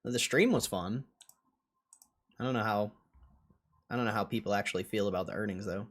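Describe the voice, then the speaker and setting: A man's voice: on the low side, brisk, a bit bright. A man hosting a livestream in a casual, blunt and dismissive way, swearing now and then as he answers chat. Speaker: livestream host.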